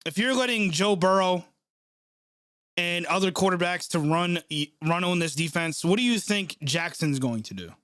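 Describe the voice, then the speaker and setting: A man's voice: high voice, animated, normal speed, fairly bright tone, no room sound, clean studio sound. Stuffy-nosed frustrated man streaming a game reaction. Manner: frustrated, sarcastic, swears often. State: stuffed up from a cold. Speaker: sports channel host reacting live. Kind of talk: live play-by-play reaction stream, reading chat.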